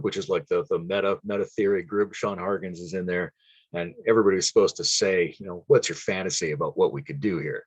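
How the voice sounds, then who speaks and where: Deep husky man musing out loud. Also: dry recording. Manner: rambling theoretical musing with chuckles. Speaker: podcast guest. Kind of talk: casual conversation.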